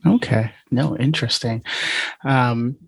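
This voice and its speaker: A man's voice: medium pitch, slow pace, vocal fry, husky, no room sound. A man hosting a podcast interview in a casual, informal and somewhat hesitant conversational way.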